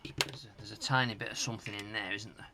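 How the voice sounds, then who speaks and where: A middle-aged British man giving casual hands-on repair commentary, musing aloud in a halting, hesitant way. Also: on the low side, steady tone, a crowd in the background. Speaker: host of a retro tech repair channel. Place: home workshop loft.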